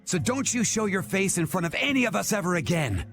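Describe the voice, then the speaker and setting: Scornful guild man, gravelly and animated. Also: high voice, a little fast, over music. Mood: scornful, threatening. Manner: accusing, blunt, threatening. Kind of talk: dramatic video game dialogue.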